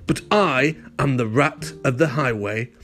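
A man voicing a greedy, boastful rat highwayman from a picture book who bellows his demands. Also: a little slow, fairly bright tone, faint music behind, lightly acted. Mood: boastful bellowing.

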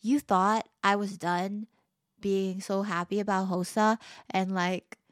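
A woman's voice: mid-range voice, little pitch movement, takes it slowly, no echo, clean studio sound. A teenage girl hosting her own podcast, chatting casually and upbeat with lots of filler words, her voice a little tired. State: a bit tired, voice lower than usual. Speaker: podcast host. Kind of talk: casual solo podcast chat.

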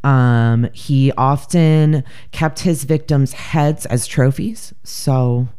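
A woman with a deep voice co-hosting a casual true-crime chat, blunt, joking and profane. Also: extremely slow, dark tone, clean tone. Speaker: podcast co-host.

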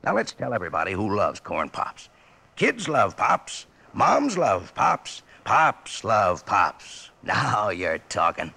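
A man with an American accent doing a folksy, jokey 1950s radio cereal commercial with drawn-out emphasis. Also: on the low side, huge pitch swings, gravelly, sharp tone, breathy edge. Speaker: commercial pitchman. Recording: vintage radio recording.

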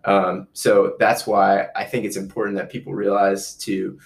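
A man's voice: low voice, flat delivery, normal speed, vocal fry. A man hosting a casual interview, earnest and repeating his points with frequent ums. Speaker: podcast host.

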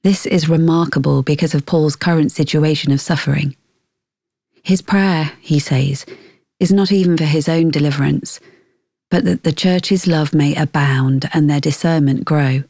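Woman, low-pitched, mellow tone, scripted devotional narration, measured delivery. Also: fairly even intonation, vocal fry, no echo, studio quality. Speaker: podcast host and devotional reader.

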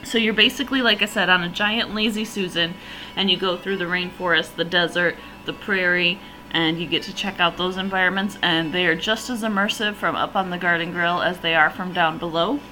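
A woman hosts a solo podcast, her voice a little raspy and hoarse, yet bright and forward. She talks in an eager, rambling way, full of fillers. Soft music sits faintly under her voice. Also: lowish voice, fairly even intonation, fairly quick, poor audio. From northern region. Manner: chatty, enthusiastic, rambling with fillers. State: slightly hoarse from allergies. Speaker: podcast host. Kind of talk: casual solo podcast review.

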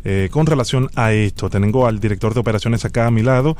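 A man on a prank phone call playing a stern, bureaucratic immigration official, speaking formally and gravely. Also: on the low side, quick pace, a little mellow, natural voice. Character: stern male immigration official reading a deportation order. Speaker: prank caller.

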